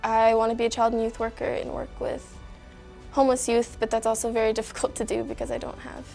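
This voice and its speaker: Young Canadian woman with a creaky voice. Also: on the high side, flat delivery, fast, mellow, faint music behind. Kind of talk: personal testimonial to camera.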